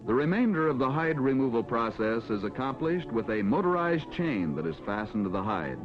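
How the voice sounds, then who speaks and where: American film narrator, lively pitch, vintage sound. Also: male voice, highs cut off. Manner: formal, measured announcer delivery. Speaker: narrator of an industrial educational film. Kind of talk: scripted narration, mid-century educational film. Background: background music.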